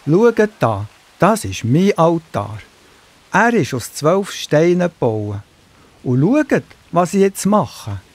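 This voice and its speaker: A man's voice: on the high side, very animated, slow pace, heavily acted. A man playing a confident, teasing, commanding prophet in a children's Bible audio drama. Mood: calm confidence. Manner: mocking, commanding, self-assured.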